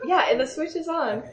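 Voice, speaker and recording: A woman with a strong, carrying voice leads a meditation teaching, walking listeners through visualizations and checking in with them as she goes. She speaks without a microphone, so her voice reaches the recorder from a distance. A long, booming echo from the large hall surrounds every word. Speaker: spiritual teacher. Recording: roomy hall echo, voice off-mic.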